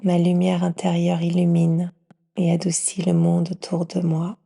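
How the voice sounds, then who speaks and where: A woman leading a guided yoga nidra meditation, speaking softly and soothingly and often trailing into a breathy whisper. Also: fairly low pitch, completely flat delivery, a little slow. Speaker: yoga nidra teacher guiding a session.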